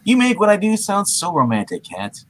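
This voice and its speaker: A man playing an earnest, awkward masked superhero in love, read from a comic book with a halting, hammy delivery. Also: sits high, wildly swooping pitch, a bit bright, heavily acted. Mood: awkward, smitten. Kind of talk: comic book live reading.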